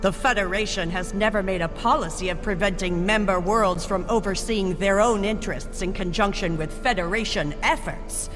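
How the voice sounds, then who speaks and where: A woman's voice: medium pitch, moderate pace, few pauses, lightly acted. An actress playing an indignant female political delegate in a video game, formal and pointed. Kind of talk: scripted video game dialogue. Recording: long hall reverb, clear music behind.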